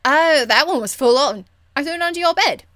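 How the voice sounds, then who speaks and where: A woman's voice: high-pitched, animated, fairly quick, a bit bright, no echo, studio quality, pitched above the performer's own voice. A woman voicing a cheeky, crude young elf thief in a video game, with a blunt, teasing, informal manner. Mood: disgusted, blunt. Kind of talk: video game character acting.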